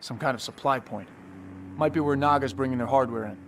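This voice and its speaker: An actor playing a confident special forces commander who gives clipped, matter-of-fact orders in a video game cutscene. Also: male voice, medium pitch, fairly quick, gravelly, a bit bright, slight background noise. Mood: urgent, decisive. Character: decisive male special forces squad commander, terse, confident. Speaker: military squad commander. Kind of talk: video game cutscene acting, mission briefing.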